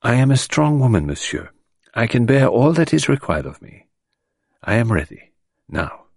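A man's voice: mid-range voice, big pitch swings, normal speed, creaky, a little mellow, slightly rough, no room sound, studio quality, pitched above the performer's own voice, exaggerated voice. A man voicing a dignified, grieving middle-aged French widow who speaks in a composed, formal, restrained way.

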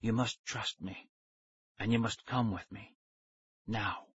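A man voicing a small, secretive old scientist with a thin, breathy, hushed voice and a measured, earnest way of talking. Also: on the low side, fairly even intonation, no echo, dull audio, exaggerated voice. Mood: hushed, insistent.

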